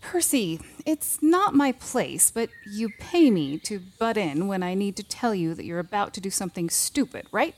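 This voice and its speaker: A woman's voice: mid-range voice, very animated, moderate pace, few pauses, a little mellow, slight background noise. An actress playing a wry, reassuring woman advisor in an audio drama, talking in a blunt, casual way. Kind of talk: fiction podcast drama acting.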